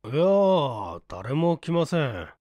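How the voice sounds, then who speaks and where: A man voicing a composed, quick-witted young son in an audiobook story, chatty and breezy. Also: fairly high pitch, very animated, a little mellow, dry recording, highs cut off, full character voice. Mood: casual bluffing.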